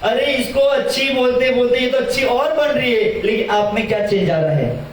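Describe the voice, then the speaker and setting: A young man with a high voice gives a lively religious talk to an audience. He asks questions and repeats his key phrases for emphasis. He sounds far from the mic, and his voice rings through a very echoey hall. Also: normal speed, slight background noise. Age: young adult. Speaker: religious teacher. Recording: very echoey hall, heard from afar.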